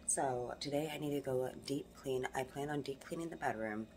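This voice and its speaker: A young woman with a low voice chats to her phone camera, hoarse and a bit raspy from rehearsals. She talks in an animated, emphatic way, with a faint hum of background noise. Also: moderate pace. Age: young adult. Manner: animated, emphatic motivational talk. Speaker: vlogger. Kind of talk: casual vlog talk to camera. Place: home living room, then car. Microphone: phone camera held close.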